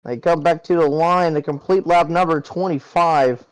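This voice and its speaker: A man's voice: fairly high pitch, steady tone, normal speed, clear, resonant tone, low-quality recording. A man calling an online sim race as the play-by-play host, chatty and conversational. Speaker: play-by-play commentator. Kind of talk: sim racing broadcast commentary.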